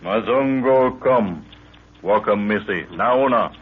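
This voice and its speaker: An actor in an old radio drama playing a huge, loyal African warrior prince who speaks in terse broken pidgin English mixed with native words. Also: male voice, lowish voice, slow pace, breathy edge. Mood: alert, terse. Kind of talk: 1930s radio drama acting. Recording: scratchy, tinny 1930s radio recording.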